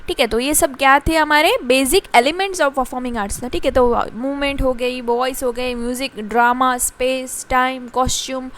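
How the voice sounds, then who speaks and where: A woman teaching a commerce class in Hindi, reading definitions slowly and pausing often with fillers. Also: sits high. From India. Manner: explains in Hindi, reads definitions slowly in English, frequent fillers and ठीक है. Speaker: commerce lecturer. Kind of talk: classroom lecture.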